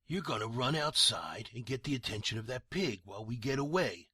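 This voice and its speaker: A surly, bossy thug acted by a man in a noir radio drama. His mid-pitched voice is hard and forceful with a rough rasp, and he sounds impatient and slangy. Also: big pitch swings, brisk, no echo, studio quality, heavily acted. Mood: smug menace. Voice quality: rough, raspy. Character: surly, bossy, self-satisfied male gangster thug.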